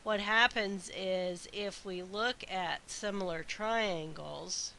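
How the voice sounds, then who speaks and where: A woman math instructor recording a lecture while congested from a sinus infection, her voice weak and stuffy, explaining slowly with frequent uhs and ums. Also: medium pitch, creaky, sharp tone. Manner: slow, methodical explaining with frequent uh and um. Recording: faint hiss.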